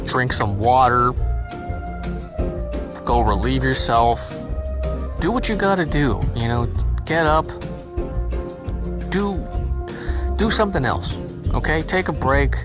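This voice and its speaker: A man who made the podcast, giving a solo break announcement full of rambling, friendly advice. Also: medium pitch. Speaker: podcast creator. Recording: music clearly behind the voice.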